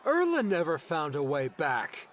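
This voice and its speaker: A man voices a hardened young hero in an audiobook drama. His delivery is terse and resolute, with pitch that moves widely over faint outdoor background noise. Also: wildly swooping pitch, measured pace. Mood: defiant.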